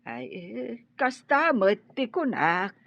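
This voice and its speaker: A woman playing a very slow, worried mother. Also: a little high, very animated, creaky, a bit bright, full character voice. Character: middle-aged mother, worried and tired. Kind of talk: radio drama acting. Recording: muffled lo-fi audio.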